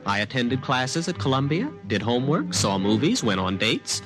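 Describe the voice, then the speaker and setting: A man's voice: medium pitch, big pitch swings, normal speed, natural voice. A young man playing a skeptical college student in a 1950s radio drama, talking in a casual, wry way. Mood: wry narrating. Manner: casual, hesitant questioning, wry. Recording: vintage radio recording with music.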